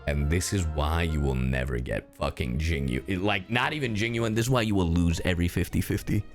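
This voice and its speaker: A man's voice: sits low, a little fast. A man livestreaming a game with casual, joking, sweary commentary, reacting as he plays. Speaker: streamer and professional voice actor. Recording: faint game music behind. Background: game music.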